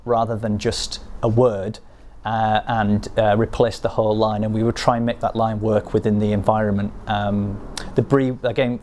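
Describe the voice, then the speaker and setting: A man's voice: on the low side, darkish tone, slight background noise. A male game developer being interviewed, who explains his work hesitantly with many fillers and restarts.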